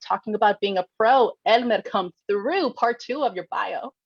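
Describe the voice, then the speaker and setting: Bright-talking effusive woman host, slightly dull audio. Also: mid-range voice, normal speed, vocal fry, slightly rough, no room sound. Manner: effusive, expressive, peppers English with Spanish and y'all. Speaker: host, nonprofit representative. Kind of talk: upbeat casual panel hosting.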